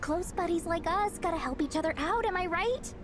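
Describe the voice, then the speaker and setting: A woman's voice: very high-pitched, fairly quick, noisy background. An actress voicing a cheerful young woman engineer in a video game, breezy and coaxing as she asks a friend for help. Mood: friendly.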